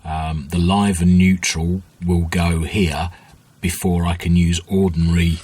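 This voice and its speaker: A man giving an informal workbench tutorial as a hobbyist restorer, thinking aloud in a hesitant, unhurried way with a husky voice. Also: low-pitched, little pitch movement, darkish tone. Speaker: hobbyist radio restorer, video host.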